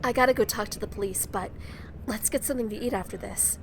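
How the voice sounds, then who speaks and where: A woman's voice: fairly high pitch, fast talker, slight background noise, natural voice. A young woman playing a feisty, emotional younger sister in an audio drama. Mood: relieved.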